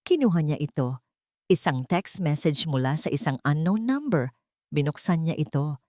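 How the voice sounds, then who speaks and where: Woman storyteller, lower-pitched voice, scripted dramatic narration, very dry sound. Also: lively intonation, moderate pace, mellow, dry recording.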